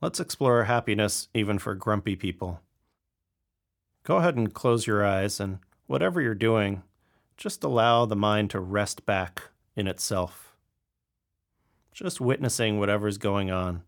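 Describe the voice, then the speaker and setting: Low, creaky-voiced man guiding meditation. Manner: calm, gently instructing, with pauses. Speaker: meditation teacher. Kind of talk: guided meditation.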